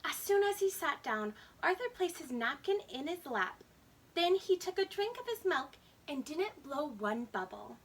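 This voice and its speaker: A woman hosting storytime, narrating a children's story in an animated, expressive way. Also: high voice, measured pace. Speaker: storytime host and reader. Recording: slightly distant microphone.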